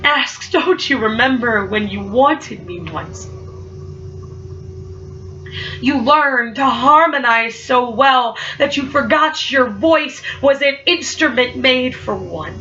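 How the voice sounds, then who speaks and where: Stuttery young woman doing spoken word, high and bright, sounds far off and kinda muffled. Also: slow, few pauses, a little hiss or hum. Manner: stutters, with emphatic rhythmic delivery. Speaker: poet. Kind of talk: spoken word poetry performance.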